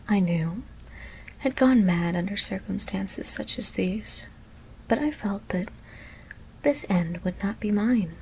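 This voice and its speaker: A woman reads a gothic horror tale as ASMR, in a soft unvoiced whisper with a crackly edge. Her delivery is measured and deliberate, close to the microphone. A light hiss sits behind her voice. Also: medium pitch, gravelly. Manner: measured, deliberate literary narration. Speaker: ASMR storyteller reading aloud.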